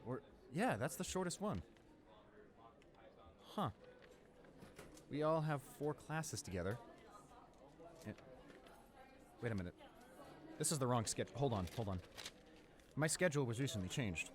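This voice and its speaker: Man playing a friendly, awkward high school senior guy. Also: lively intonation, a little slow, pauses often, crowd noise, lightly acted. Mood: eager, friendly. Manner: awkward, hesitant, rambling, apologetic. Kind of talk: roleplay audio drama, casual conversational acting.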